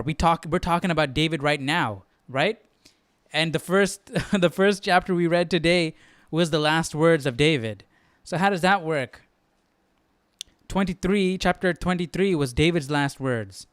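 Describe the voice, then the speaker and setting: Casting: male livestream study host, slightly higher voice, informal, thinking aloud, dry close sound. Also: measured pace, pauses often, a bit bright, clean tone, no echo. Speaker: host of a live Bible study. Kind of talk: casual livestream chat.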